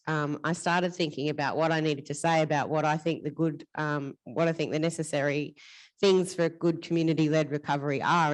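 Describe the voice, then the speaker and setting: A woman speaking as a community leader in a panel discussion, frank and earnest, at a conference hall microphone. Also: low voice. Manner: frank, earnest, self-described big voice. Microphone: handheld or stage microphone.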